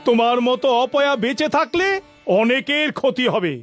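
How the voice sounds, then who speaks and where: Man acting haughty cartoon monarch, extremely high voice, irritable, accusing, faint music behind. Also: normal speed, a bit bright. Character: imperious, superstitious, irritable cartoon king.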